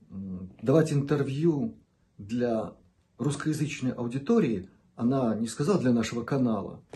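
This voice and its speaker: A man hosting a channel, speaking in an unscripted monologue to viewers. He is measured and deliberate, pausing between short phrases and stretching words for emphasis. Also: mid-range voice, mellow. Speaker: channel host.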